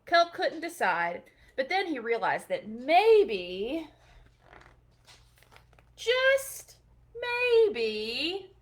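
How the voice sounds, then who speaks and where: Expressive woman reading a picture book. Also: high voice, drawn-out words, long pauses. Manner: gentle, expressive storytelling. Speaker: children's librarian.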